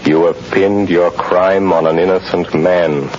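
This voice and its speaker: An actor playing a mysterious invisible avenger in old radio drama, calm and menacing, with an ominous, deliberate delivery. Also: male voice, low-pitched, a little hiss or hum. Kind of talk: 1930s radio drama acting. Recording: dull old radio recording, music behind.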